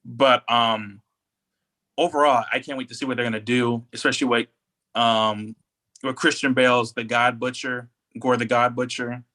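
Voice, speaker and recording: A man co-hosting a podcast in casual, slangy, opinionated and animated chat. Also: mid-range voice, normal speed. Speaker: podcast co-host. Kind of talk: casual pop culture chat.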